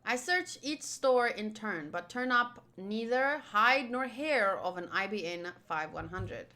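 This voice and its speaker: A woman's voice: medium pitch, animated, unhurried, sharp tone. A woman livestreaming a visual novel playthrough, reading game text aloud and adding playful, chatty asides. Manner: playful, chatty, self-interrupting. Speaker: streamer playing a visual novel.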